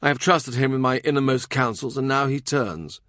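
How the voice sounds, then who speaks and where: A man's voice: mid-range voice, moderate pace, a bit bright, no room sound, clean studio sound, pitched above the performer's own voice, close to an everyday voice. A man voicing a commanding, middle-aged English king from a historical audiobook, with an imperious, emphatic delivery. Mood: grave, resentful.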